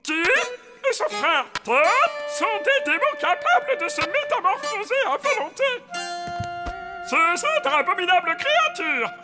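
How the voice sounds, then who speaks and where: A small, eager mummy creature in a cartoon. The voice is extremely high and swoops widely with dramatic, pleading excitement. Loud music runs underneath. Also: measured pace, exaggerated voice. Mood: alarmed. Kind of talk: French cartoon dub acting.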